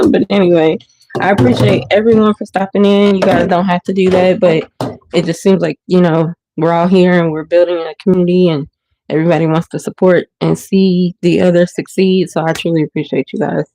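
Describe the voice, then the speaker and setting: A woman's voice: on the low side, steady tone, brisk, mellow, poor audio. Stuffy woman chatting while doing dishes. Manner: rambling, hesitant, many ums and restarts. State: stuffy, sniffling. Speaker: livestream host. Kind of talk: casual livestream chat. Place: home kitchen. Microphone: phone or webcam while washing dishes.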